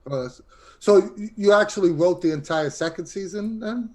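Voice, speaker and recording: Guy interviewer with a kinda higher voice, chatting casually. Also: normal speed, a little mellow. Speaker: podcast interviewer.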